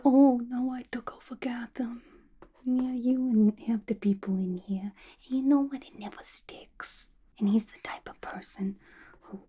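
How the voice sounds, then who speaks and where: A woman playing a young, playful, violent-minded female villain in an ASMR roleplay, gossiping to the listener in a chatty, conspiratorial breathy whisper. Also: fairly high pitch. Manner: chatty, conspiratorial, giggly, colloquial. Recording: clear background noise, soft clicks.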